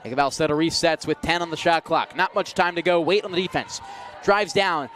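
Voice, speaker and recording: Young male radio play-by-play announcer, high-pitched, energetic, descriptive basketball commentary. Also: brisk. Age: young adult. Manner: energetic, descriptive call of the action. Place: college basketball arena, live courtside call.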